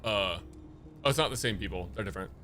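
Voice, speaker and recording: Bright-voiced male game streamer. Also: lowish voice, quick pace. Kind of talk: casual live reaction commentary, reading game dialogue. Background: game music.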